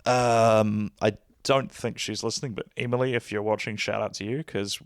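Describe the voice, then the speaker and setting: A man hosting a podcast in casual chat, with a deep bassy voice and a rambling, laid-back way of talking. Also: fairly even intonation, moderate pace, no room sound, clean studio sound. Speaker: podcast host. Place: lying back while recording.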